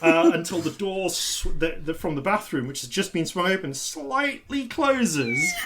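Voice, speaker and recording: A man with an RP accent that slips toward Yorkshire, narrating a tabletop game as its dungeon master. His voice sits a little high for a man, and he describes scenes in a halting way, full of "uh" and "like". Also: lively intonation, a bit bright. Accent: RP with Yorkshire traces.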